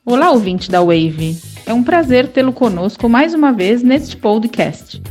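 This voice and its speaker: A woman with a Brazilian accent and a slightly high voice hosts a podcast. She delivers a scripted introduction as a journalist, with soft music underneath. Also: sing-song, measured pace.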